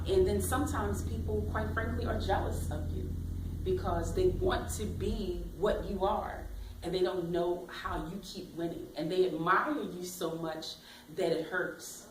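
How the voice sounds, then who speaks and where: A woman career coach giving bold, expressive motivational advice in an interview. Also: on the low side, a little hiss or hum. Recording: echoey room, mic at a distance.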